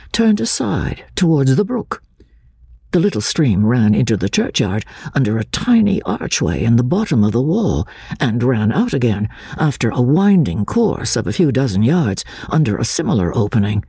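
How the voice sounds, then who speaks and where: A man gives a dramatic reading of classic literature as an audiobook narrator. His husky voice moves widely in pitch with a measured, theatrical delivery, recorded very dry. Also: warm tone, dry recording.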